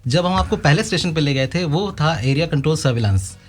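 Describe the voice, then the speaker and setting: A man with an Indian accent answering interview questions as an expert guest, explaining haltingly and repeating words. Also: on the high side, lively intonation, faint music behind. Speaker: air traffic controller guest.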